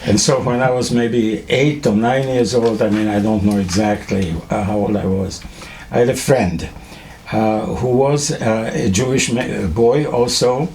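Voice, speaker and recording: An older man from Czechoslovakia whose first language is German, telling students about his early life in a halting way, with many fillers and restarts. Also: fairly low pitch, fairly even intonation, a little mellow, a little hiss or hum. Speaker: Holocaust survivor speaking to students. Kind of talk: testimony to a school class.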